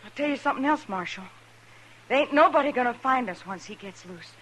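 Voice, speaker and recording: A woman's voice: on the high side, animated, fairly quick, fairly bright tone, slight background noise, rough recording. An American actress playing a defiant young frontier woman in a 1950s radio Western, blunt and bitter with rustic speech, on an old recording. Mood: bitter, threatening.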